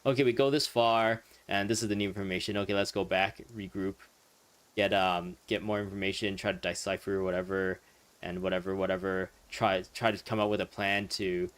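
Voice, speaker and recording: A man's voice: low voice, steady tone, brisk. A man giving casual, rambling game commentary in a wry, amused manner. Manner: wry, amused, chuckles while musing. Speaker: let's play streamer commenting on a game. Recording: game music playing behind. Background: video game music and dialogue.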